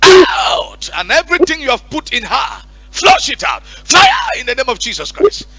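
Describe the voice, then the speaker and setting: A male pastor leading a deliverance prayer and counseling on stage through a headset mic, commanding and forceful. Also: extremely high pitch, wildly swooping pitch, moderate pace, no echo, faint music behind. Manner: commanding, shouting at spirits. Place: church stage with curtain backdrop.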